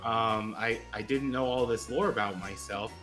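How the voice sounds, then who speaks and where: A man's voice: fairly low pitch, moderate pace, faint music behind, rough recording. Laid-back American man explaining a game. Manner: relaxed, chuckling, hesitant with fillers. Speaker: guest game master. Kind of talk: casual chat, explaining game settings.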